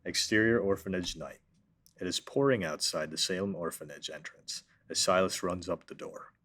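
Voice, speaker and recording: A man reading the stage directions and narration of a script at a table read in a steady, matter-of-fact way. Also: deep voice.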